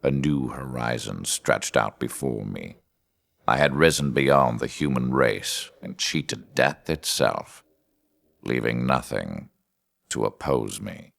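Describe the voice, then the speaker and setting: A man voicing a cold, arrogant, scheming superhuman video game villain in a measured, theatrical, menacing delivery with a gravelly voice. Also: extremely low pitch, expressive, fairly bright tone, exaggerated voice. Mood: cold, triumphant. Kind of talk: scripted video game villain narration and dialogue.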